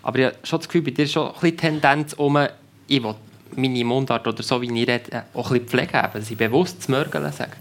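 Calm male moderator. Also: medium pitch, quick pace. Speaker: podcast host, moderator. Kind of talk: moderating a panel talk.

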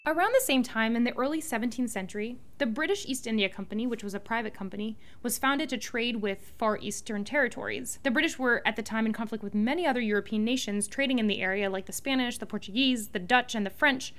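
A woman co-hosting a scripted history podcast, explaining facts in a brisk way. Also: on the high side, a bit bright. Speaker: podcast co-host.

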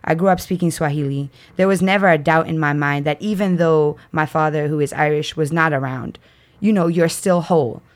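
Woman in her twenties, Kenyan-raised guest, lowish pitch, lively slang-filled storytelling. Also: a little fast. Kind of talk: casual interview chat.

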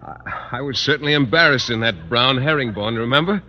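A man's voice: mid-range voice, fairly quick, pushed and bright. An American actor playing a bashful, earnest male biology teacher in 1950s radio comedy, hesitant and easily flustered. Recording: muffled, noisy old radio recording.

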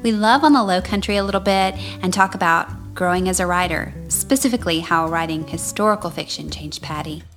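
A woman's voice: lowish voice, fairly quick, few pauses, fairly bright tone, faint music behind. A woman from South Carolina hosting a chatty podcast interview from a studio, with an effusive, enthusiastic way of talking. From Charleston area, South Carolina. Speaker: podcast host.

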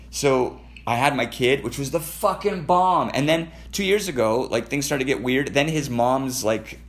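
A man's voice: mid-range voice, a bit bright. A Canadian man telling personal stories in casual conversation, profane, rambling and emotionally intense.

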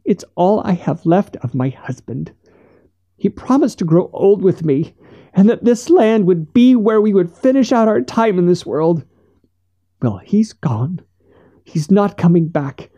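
A male narrator plays an indignant frontier widow in an audiobook, guarding her land with defiance and distress. The voice is pitched well up and mellow at the core. She pleads and insists with heavy emphasis. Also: animated, a little slow, lo-fi sound, heavily acted. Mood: grieving defiance. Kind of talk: audiobook character voice.

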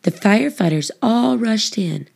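A woman narrating a children's book, phrasing it expressively and deliberately for young listeners. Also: on the high side, lively intonation, gravelly, darkish tone. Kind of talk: scripted storybook narration.

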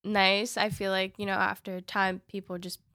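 A woman's voice: mid-range voice, normal speed, dry recording, clean studio sound. A woman in her twenties from Queens, a native Spanish speaker, chatting casually as a podcast guest with many fillers and frequent laughs. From Queens, New York. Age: 20s. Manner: relaxed, filler-heavy, laughing often. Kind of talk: casual interview chat.

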